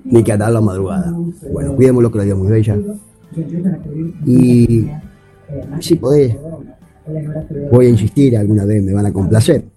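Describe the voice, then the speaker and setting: A man from Córdoba, Argentina, leaves a friendly, rambling voice message for a radio host. His voice sits a little low. Clear music plays behind him. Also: moderate pace, long pauses, poor audio. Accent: Córdoba Argentine Spanish. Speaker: caller.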